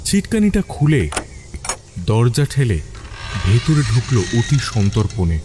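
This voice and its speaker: A man narrating a scripted suspense story with deliberate phrasing and dramatic pauses. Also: medium pitch, big pitch swings, background noise.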